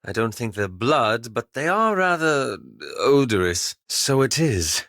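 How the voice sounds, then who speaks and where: A man playing a reserved, scholarly, self-doubting young elf adventurer in a video game, speaking in a formal, measured, wryly understated way. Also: medium pitch, big pitch swings, a bit bright, dry recording, studio quality. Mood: wry, curious. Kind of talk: video game companion dialogue.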